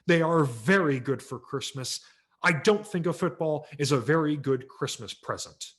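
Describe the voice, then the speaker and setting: A man, a professional audiobook narrator, giving a wry and expressive dramatic reading of a memoir story at a virtual library event, with small character voices. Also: on the high side, moderate pace.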